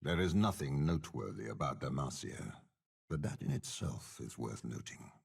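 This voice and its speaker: An actor playing a commanding, menacing, scheming old warlord general in a video game, with a gravelly, husky voice and slow, disdainful delivery. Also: male voice, a bass voice, sing-song, heavily acted. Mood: contemptuous. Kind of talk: dramatic game character voice lines.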